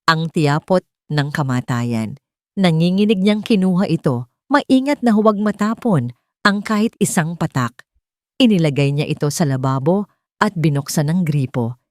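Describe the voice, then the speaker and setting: Low-pitched woman narrating a dramatic story. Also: darkish tone, no room sound, clean studio sound.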